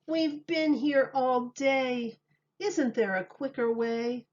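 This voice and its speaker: Puzzled, eager townsfolk from a storybook, all voiced by one woman. The voice is raised in pitch and exclaims its questions at a slow pace, on a muffled recording. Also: a little mellow. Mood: pleading.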